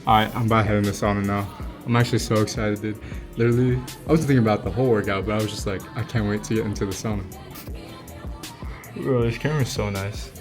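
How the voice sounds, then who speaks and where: A man's voice: lowish voice, fairly even intonation, fast talker, over music. A man in his twenties talking casually to camera in a vlog, rambling and earnest, with plenty of slang. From United States. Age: 20s. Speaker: vlogger.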